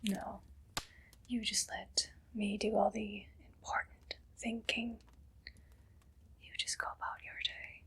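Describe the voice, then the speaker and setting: A woman playing a haughty, condescending evil queen in an ASMR roleplay, speaking in a breathy, deliberate whisper. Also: medium pitch, level tone, fairly bright tone. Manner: slow, deliberate, imperious.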